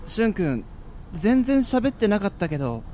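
A performer playing a gentle, soft-spoken high school boy in an amateur radio drama, mild and polite. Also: very animated, moderate pace, slight background noise, lightly acted. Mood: friendly, mild. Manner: mild, polite boyish speech.

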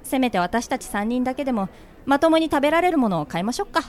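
A woman's voice: high-pitched, expressive, fast, a little mellow. A woman playing a sensible, exasperated young woman who scolds her friends, in an amateur radio drama.

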